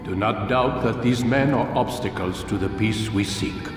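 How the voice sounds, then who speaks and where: An actor playing a stern, measured old master of assassins in a video game, with solemn, formal speech. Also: male voice, on the low side, recorded from a distance, natural voice. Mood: solemn, instructive. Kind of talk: dramatic video game acting. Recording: echoey hall with long reverb, music behind.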